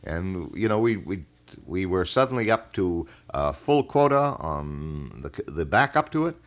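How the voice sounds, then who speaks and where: A middle-aged American man with a fairly low voice hosts a live radio call-in show. He talks in a folksy, wordy manner, phrasing things haltingly as he chats with listeners from the studio. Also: big pitch swings. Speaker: radio talk show host. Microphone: studio broadcast microphone.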